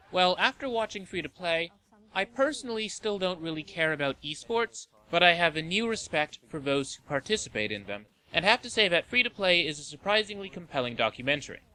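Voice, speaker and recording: A man's voice: a little high, sharp tone, low-quality recording. A man reading a scripted review narration as the host of a countdown list video.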